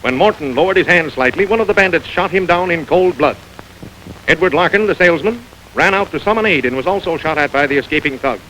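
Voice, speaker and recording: An actor playing a brisk male radio news announcer reading a bulletin in a 1930s film. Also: fairly high pitch, animated, bright, forceful tone, a little hiss or hum, poor audio. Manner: rapid, crisp bulletin delivery.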